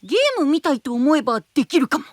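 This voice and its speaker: A woman's voice: high voice, very animated, quick pace, no echo, studio quality. A woman voicing a timid, sweet, childlike boy knight with hesitant speech in an anime-style audio drama. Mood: shy, hopeful.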